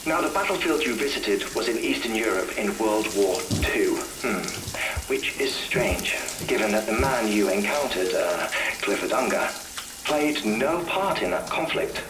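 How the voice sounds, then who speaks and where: A man playing an earnest, thoughtful scientist in a video game cutscene, explaining theories haltingly. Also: fairly low pitch, lively intonation, reverberant, background noise. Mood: puzzled. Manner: halting, explanatory, with hesitant pauses.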